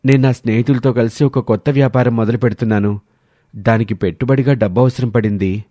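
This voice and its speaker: A man's voice: mid-range voice, steady tone, fast talker, warm tone, dry recording, studio quality, natural voice. A man voicing an ambitious, self-serving grown son in scripted story dialogue. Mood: persuading.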